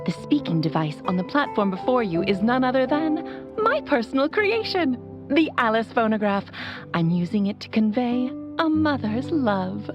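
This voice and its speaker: A woman's voice: fairly high pitch, big pitch swings, moderate pace. A woman playing a theatrical, teasing, doting sorceress mother in a video game. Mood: teasing, breezy. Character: playful, theatrical, doting sorceress mother speaking through a phonograph. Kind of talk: animated video game dialogue. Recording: music behind the voice.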